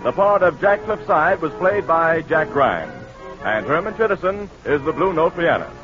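A man's voice: a little high, sing-song, moderate pace. A man with an American accent announcing 1940s radio ads and credits in a polished, emphatic way. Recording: muffled vintage radio recording with music.

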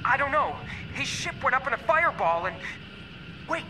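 Wary practical jedi pilot, voice jumps around, really noisy backdrop, radio drama. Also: male voice, very high-pitched, sing-song, normal speed. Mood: focused. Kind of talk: audio drama acting. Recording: loud sound effects behind voice.

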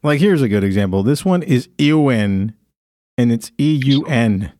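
A man's voice: medium pitch, expressive, a little slow, dark tone, no echo, clean studio sound. A man hosting a podcast, reading from his phone in a casual, crude way with frequent laughter. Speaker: podcast host. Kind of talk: casual comedic chat.

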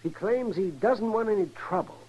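An actor playing a cantankerous, flustered older frontier doctor who sputters and grumbles in a folksy way in an old radio western. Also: male voice, high voice, moderate pace, darkish tone, slightly rough, lo-fi sound. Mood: grumbling. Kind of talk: 1950s radio western acting. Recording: noisy old radio recording.

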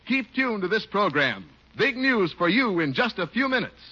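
A man working as a 1950s radio announcer and sponsor pitchman. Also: big pitch swings, moderate pace, heavy background noise. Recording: muffled old radio broadcast.

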